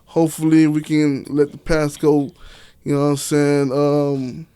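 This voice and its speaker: A man's voice: on the high side, level tone, unhurried, warm tone, clean tone, no room sound. Male American interview guest, clean resonant tone, casual street-talk delivery, dry sound. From Miami, Florida, United States. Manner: emphatic, colloquial, peppers talk with "you know" and "man". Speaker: interview guest, author and stage play director. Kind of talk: casual interview chat, slangy.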